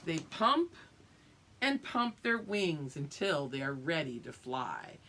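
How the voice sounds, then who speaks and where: Lady teacher reading a kids book out loud, very up and down voice, explains stuff, bit of noise behind her. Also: sits low. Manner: expressive, drawn-out reading with teacherly explanations and check-ins. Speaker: teacher reading aloud to young pupils. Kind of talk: read-aloud picture book lesson with explanatory asides.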